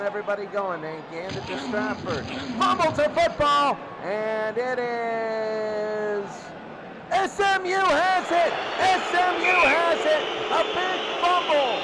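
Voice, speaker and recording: A man calling American football play-by-play as announcer, excitable and repeating phrases for emphasis. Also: very high-pitched, a little slow. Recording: loud crowd roar and heavy noise. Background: roaring stadium crowd.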